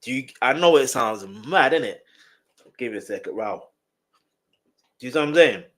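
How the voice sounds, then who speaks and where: This is a man whose voice has a slight nasal edge. His pitch sits in the ordinary middle for a man. He gives relaxed, conversational reaction commentary, repeats words in bursts and keeps asking the listener whether they follow. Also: moderate pace. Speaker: music reaction host. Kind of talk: casual music reaction commentary.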